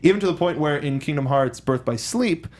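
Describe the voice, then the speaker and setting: A man co-hosting a casual film discussion, speaking in a halting, rambling way and often circling back to rephrase with enthusiasm. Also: fairly high pitch, expressive, darkish tone. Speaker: co-host of a film discussion show.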